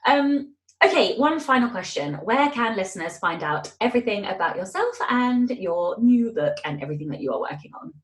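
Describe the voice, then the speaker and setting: A woman hosting a casual, playful podcast interview, expressive and teasing in manner, recorded with a roomy sound. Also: mid-range voice, normal speed. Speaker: guest host interviewing the show's host.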